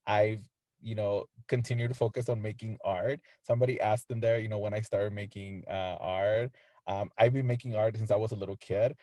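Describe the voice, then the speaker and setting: A man's voice: lowish voice, fairly even intonation, fairly quick, mellow, no room sound. A man, an artist speaking on an online panel, talking casually with many fillers.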